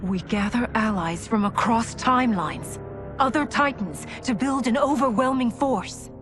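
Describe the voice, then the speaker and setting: A woman's voice: fairly high pitch, a little slow, over music, lightly acted. An actress playing a confident, strategic female fighter in a fantasy video game. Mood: purposeful. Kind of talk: dramatic video game acting.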